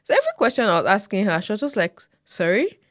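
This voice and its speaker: A woman's voice: mid-range voice, fast talker, no room sound, studio quality. A Nigerian woman with a Nigerian accent, co-hosting a podcast and telling stories in casual chat with laughter. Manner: expressive storytelling with laughs. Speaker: podcast co-host.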